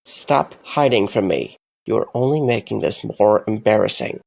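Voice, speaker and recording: A man playing a frustrated, sarcastic and weary young man in a fan audio drama, speaking in an exasperated, halting way. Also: lowish voice, lively intonation, gravelly. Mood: stern.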